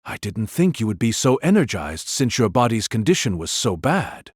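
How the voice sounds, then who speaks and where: A man performs a sardonic warrior for an audio drama. His delivery is theatrical and very animated in pitch, with a breathy rasp. The recording is dry. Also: moderate pace, no echo. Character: sardonic warrior addressing an awakened enemy.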